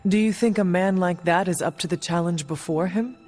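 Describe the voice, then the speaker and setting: An actress playing a poised, curious warrior woman in a video game, speaking in a formal, measured way. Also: on the low side, a little mellow, faint music behind, lightly acted. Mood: formal, inquisitive. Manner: formal, measured, few contractions. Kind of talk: video game cutscene dialogue.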